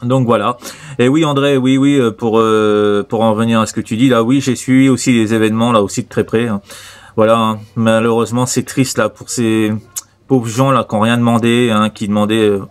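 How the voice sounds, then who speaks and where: A man's voice: mid-range voice, steady tone, a little fast, smooth. A man with an accent from France talking casually as a radio operator, rambling and repeating himself hesitantly. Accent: French from France. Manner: rambling, hesitant, repetitive, many tag words. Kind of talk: casual radio contact chat.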